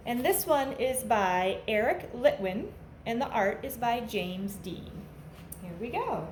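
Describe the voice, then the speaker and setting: A woman reads to young children in the manner of a teacher, with a high voice that swings widely up and down. She takes her time and speaks to her listeners directly in simple phrases. The room is noticeably echoey. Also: very animated, slow pace, darkish tone. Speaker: teacher reading a picture book to young children. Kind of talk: children's read-aloud, with sung refrains and asides to listeners. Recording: echoey room with faint background noise.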